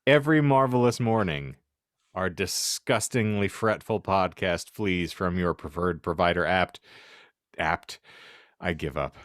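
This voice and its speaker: A man's voice: sits low, a little slow, fairly bright tone, dry recording, studio quality. A man hosting a comedy podcast, bantering in a mock-grandiose, self-deprecating, wordy way. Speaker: podcast host.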